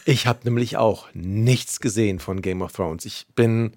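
A man co-hosting a casual podcast, talking in a playful, animated way. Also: on the low side, measured pace, no echo, studio quality. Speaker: podcast co-host. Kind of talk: casual chat.

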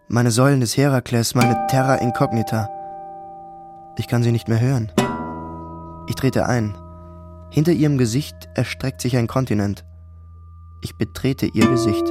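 A man's voice: medium pitch, lightly acted. A man playing an anxious traveler narrating. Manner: introspective, insistent. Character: anxious male traveler narrating in first person. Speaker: narrator in radio play. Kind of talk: radio drama narration.

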